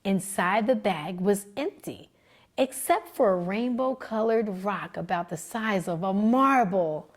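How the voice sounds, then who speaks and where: A woman librarian reading a children's book aloud, gentle and expressive in her storytelling. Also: medium pitch, a little slow.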